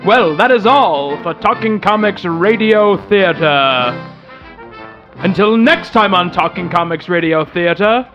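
A man narrates with a very high, swooping voice over faint music. The delivery is dramatic and scripted, in the style of radio theatre. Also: animated, a little slow, a bit bright, muffled recording.

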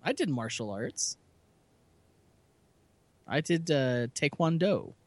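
A man's voice: on the high side, sing-song, slow, darkish tone, no echo. A man co-hosting a casual podcast chat, rambling and self-deprecating, laughing often. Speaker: podcast co-host.

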